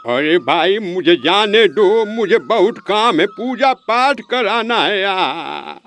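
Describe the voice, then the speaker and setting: A man playing a pious, exasperated village priest in a comic cartoon story, grumbling and explaining in drawn-out phrases. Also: high voice, animated, a bit bright, full character voice.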